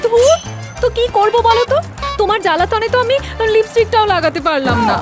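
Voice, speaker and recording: A woman's voice: very high-pitched, animated, fast. A woman playing a vain, nagging young wife in a comic cartoon, with a dramatic scolding manner. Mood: annoyed, scolding. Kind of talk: exaggerated comic cartoon acting. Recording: music behind the voice.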